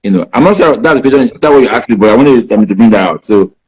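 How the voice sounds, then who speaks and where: Nigerian writer in his 50s, Igbo first language, easygoing talker who loops back and restarts, mid-pitched voice. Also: lively intonation, racing, a little mellow, husky, poor audio. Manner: rambling, many "you know" fillers and restarts. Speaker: writer being interviewed. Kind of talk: casual interview answers.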